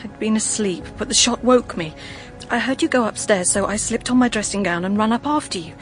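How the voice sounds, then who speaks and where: A woman's voice: mid-range voice, a little fast, soft background music, close to an everyday voice, poor audio. An actress playing a fragile, anxious young woman in a radio drama, speaking in a tremulous, hesitant way. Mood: frightened, shaken.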